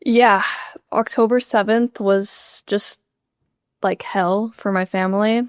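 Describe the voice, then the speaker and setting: A woman's voice: mid-range voice, steady tone, slow pace, dry recording, clean studio sound. A young woman from Canada, a university student, answering interview questions in a chatty way with lots of "like.". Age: young adult. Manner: chatty, frequent "like" fillers.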